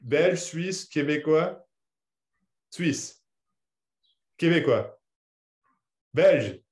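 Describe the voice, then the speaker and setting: A man's voice: on the high side, expressive, drawn-out words, darkish tone. A man who grew up in Belgium, hosting a video-call meeting and chatting casually, rambling with many restarts. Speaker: meeting host.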